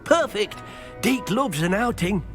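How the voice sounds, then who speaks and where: A kindly little house-elf helper for a video game, with pitch that swoops up and down animatedly. He is earnest, caring and politely gentle, with light music underneath. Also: animated, measured pace. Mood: eager, helpful. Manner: speaks of himself in the third person, gentle and polite. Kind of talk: video game character dialogue.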